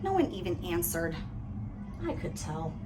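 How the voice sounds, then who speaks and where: A woman reading a picture book aloud in an animated way, with dramatic emphasis on key phrases. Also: lowish voice, unhurried. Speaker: read-aloud reader. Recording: noisy, distant microphone.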